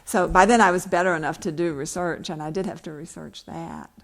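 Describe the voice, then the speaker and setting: A woman's voice: fairly low pitch, fairly quick. A woman novelist speaking at a book event, reading from her work and answering questions.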